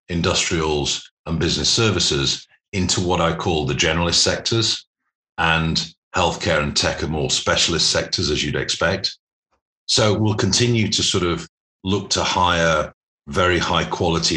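Very deep-voiced man in business interview. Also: pushed and bright. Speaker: podcast guest, private equity investor.

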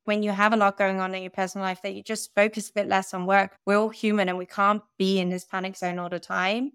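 A young woman from the Netherlands, a podcast guest speaking candidly and laughing at herself in a relaxed interview. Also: medium pitch, fast, smooth, no room sound, studio quality. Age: young adult. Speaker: podcast guest, growth advisor. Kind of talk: conversational interview.

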